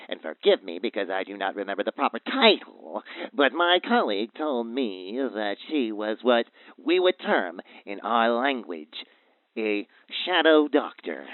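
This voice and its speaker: An actor playing a grave, foreboding doctor of paranormal phenomena, speaking formally as a radio play guest over a phone line. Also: male voice, medium pitch, no room sound. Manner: formal, ominous, deliberate wording. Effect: phone line filter. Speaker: expert guest calling in to a radio show. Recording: thin, tinny phone line.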